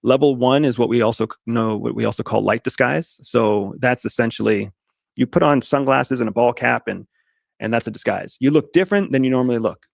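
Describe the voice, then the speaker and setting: Mid-pitched man with a very gravelly voice, methodical explainer, dry close recording. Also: fairly quick, no room sound. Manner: methodical, lays points out step by step. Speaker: guest, disguise expert. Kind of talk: casual podcast interview.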